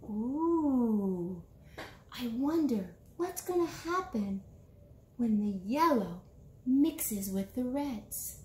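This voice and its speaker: A woman in her forties doing an animated storytime read-aloud for young children, expressive and sing-song, with drawn-out words. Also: a little high. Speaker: children's author reading a picture book aloud. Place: small indoor room, seated before a bulletin board. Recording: roomy small-room echo.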